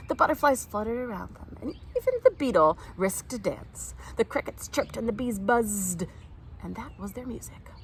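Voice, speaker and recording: Animated woman reading a children's storybook. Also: a little high, normal speed, a little hiss or hum, rough recording. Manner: animated, acts out the characters' lines. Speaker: storytime reader. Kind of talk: expressive read-aloud of a children's picture book.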